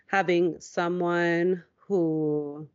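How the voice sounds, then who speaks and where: A woman with a slightly low voice talks as a podcast co-host. Her delivery is informal and reflective, with halting personal storytelling. The recording is dry and a little muffled. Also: fairly even intonation, darkish tone, clear, resonant tone, no room sound. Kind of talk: reflective casual conversation about personal experience.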